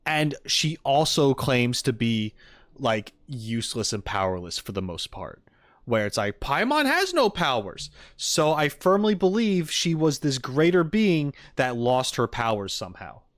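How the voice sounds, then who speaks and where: A man's voice: mid-range voice, animated, unhurried, no room sound, clean studio sound. A man streaming casually to viewers, rambling through his thoughts and acting out quotes with emphasis. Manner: rambling, emphatic quoting of others. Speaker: streamer reacting to game videos. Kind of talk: casual chat with viewers, theorizing.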